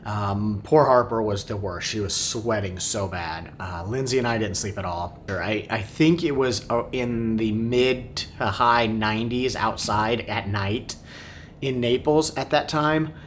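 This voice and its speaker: Low-voiced man recounting things to camera. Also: unhurried. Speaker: family travel vlogger. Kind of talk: unscripted recap to camera. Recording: clear background noise, low rumble.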